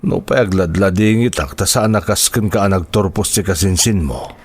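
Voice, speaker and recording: A man acting as a disheartened, apologetic middle-aged uncle in an Ilocano radio drama, with a Filipino accent and halting, heartfelt delivery. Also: deep voice, breathy edge, no echo. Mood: disheartened, resigned. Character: regretful, disheartened uncle of a graduate, humbled and apologetic.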